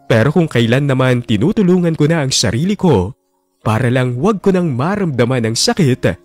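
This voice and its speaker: A man's voice: fairly high pitch, darkish tone, no echo. An actor narrating as a heartbroken young man, brooding and wounded over a lost love, in a radio drama. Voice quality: brooding, wounded inner monologue.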